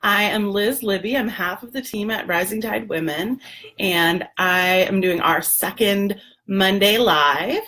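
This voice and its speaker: A woman, hoarse and gravelly, chatting casually on a live video with lots of ums and throat clearing. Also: medium pitch, measured pace, pushed and bright. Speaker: doula and lactation educator, livestream host.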